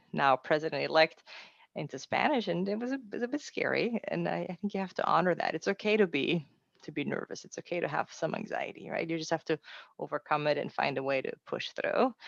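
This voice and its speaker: A woman's voice: deep voice, vocal fry, no echo, lo-fi sound. A woman in her forties from Austria, raised in Mexico City, with an Austrian accent, giving an upbeat, chatty webinar talk on translation careers with self-deprecating asides. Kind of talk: encouraging presentation.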